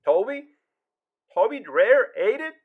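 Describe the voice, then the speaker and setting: A man's voice: extremely high pitch, big pitch swings, unhurried, husky, heavily acted. An actor playing an urgent, guilt-ridden man giving terse warnings over a telephone in an audio drama. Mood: urgent, demanding. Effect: telephone filter. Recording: thin, narrow phone-line sound.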